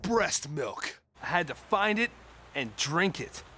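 High-pitched cocky rocker doofus. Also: male voice, slow, background noise. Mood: earnest storytelling. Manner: boastful, slangy, excitable. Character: cocky dim-witted crude rock musician, storytelling braggart. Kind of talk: animated comedy storytelling and banter.

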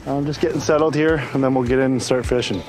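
A young man chatting excitedly to viewers while ice fishing, recorded inside a small tent on a body-worn camera. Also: mid-range voice, fast talker, music in the background, low-quality recording. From Canada. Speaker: fishing vlogger. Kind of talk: casual first-person narration while fishing. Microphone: action camera worn on the body.

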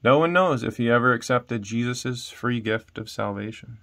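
A man's voice: medium pitch, moderate pace, a bit bright, slight background noise. A man, reading a children's story aloud as narrator. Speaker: storybook reader. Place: small room indoors at home.

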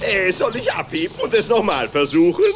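A man's voice: high-pitched, sing-song, brisk, background noise. A man voicing a dim-witted, eager duck pilot in a cartoon audio drama, hesitant and stumbling. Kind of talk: cartoon radio-play acting.

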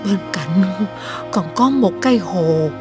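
A woman's voice: medium pitch, animated, takes it slowly, over music. An actress playing a plaintive, sorrowful elderly village woman in a fairy-tale cartoon, with a southern Vietnamese accent. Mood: weary, warning. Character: sorrowful elderly villager whose grandchildren were eaten. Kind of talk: animated fairy-tale dialogue.

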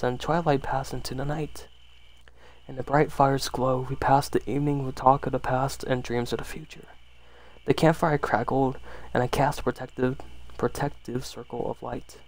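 A man's voice: medium pitch, vocal fry, low-quality recording. A man reading a horror story aloud as an ASMR storyteller, sometimes stumbling and rereading phrases.

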